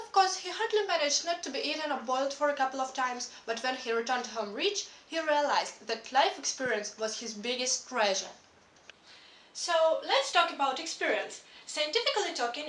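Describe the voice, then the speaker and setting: High-voiced teenage girl giving a speech. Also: animated, moderate pace, fairly bright tone, a little hiss or hum. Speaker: student presenter. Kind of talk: recited speech presentation. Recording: roomy, from a distance.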